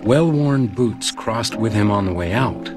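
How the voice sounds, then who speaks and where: Low, brooding detective narrator over music. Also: male voice, animated. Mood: reflective. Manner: reflective, measured. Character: brooding male detective narrator recalling his case. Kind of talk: scripted first-person radio drama narration.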